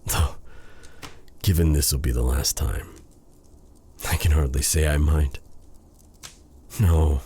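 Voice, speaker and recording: A man playing a brooding, tender dark sorcerer in a romantic fantasy audio roleplay, speaking in a halting, intimate way with formal old-fashioned wording and a husky voice. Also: extremely low pitch, fairly even intonation, a little hiss or hum. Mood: grave, resigned.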